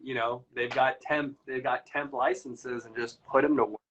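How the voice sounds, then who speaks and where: A man's voice: medium pitch, steady tone, moderate pace, fairly bright tone. A man hosting a casual chat, talking loosely and rambling with lots of fillers. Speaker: podcast host. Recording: slightly dull lo-fi audio.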